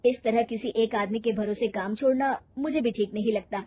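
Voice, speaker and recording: A woman voicing an easygoing, reasonable young husband who speaks in a reassuring, measured way in a moral story. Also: fairly high pitch, mellow, breathy edge, dry recording. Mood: reasoning. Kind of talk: moral story character acting.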